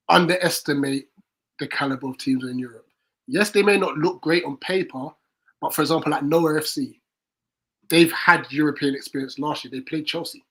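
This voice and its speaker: A man's voice: fairly high pitch, fairly bright tone, slightly rough. A man co-hosting a casual football fan watchalong, chatting about club news, reacting to the play and reading out viewer comments. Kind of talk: casual match watchalong chat.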